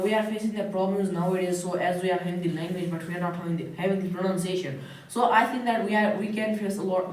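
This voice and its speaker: A woman's voice: medium pitch, a little mellow. A woman from Kashmir, speaking English with an Indian accent as a second language, hesitant with many fillers and restarts in a practice conversation. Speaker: English student. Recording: echoey room, far from mic.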